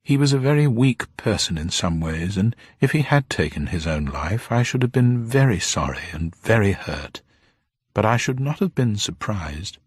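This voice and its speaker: A man's voice: fairly low pitch, big pitch swings, normal speed, slightly rough, dry recording, studio quality, voice pushed up. A man voicing a little old upper-class woman, genteel, formal, grieving and proud, in an audiobook story. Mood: grave, sorrowful. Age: older. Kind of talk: dramatised audiobook character voice.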